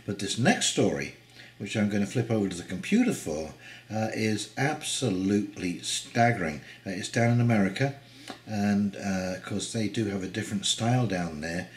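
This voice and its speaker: A man's voice: fairly low pitch, roomy sound. An older man with a head cold, sounding stuffed up, giving rambling, indignant commentary as a channel host, with many ums and uhs. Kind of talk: casual commentary reading news.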